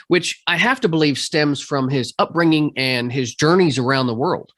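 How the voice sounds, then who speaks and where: A man's voice: on the high side, fairly bright tone, no room sound, clean studio sound. Frank-talking male podcast host. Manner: frank, blunt, conversational. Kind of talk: casual interview, then scripted outro.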